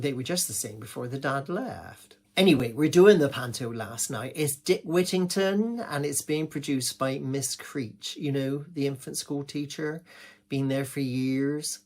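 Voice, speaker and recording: A man's voice: medium pitch, a little slow, darkish tone. A man acting a gossipy village pantomime pianist. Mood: gossipy, confiding. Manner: confiding, rambling, gossipy, with malapropisms. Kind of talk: theatrical comic monologue.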